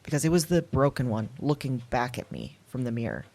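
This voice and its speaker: Husky-voiced woman whispering a nervous journal. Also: extremely low pitch, very animated, a little fast, darkish tone. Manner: hesitant, confessional, drops to whispers. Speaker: narrator keeping an audio journal. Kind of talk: audio drama monologue.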